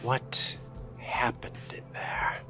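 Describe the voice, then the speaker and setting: A man role-playing a newly sired young vampire for a video game, hesitant and measured, with slightly formal wording. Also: whispered. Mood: uneasy, guarded. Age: young adult. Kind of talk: role-play acting over a video game. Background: music.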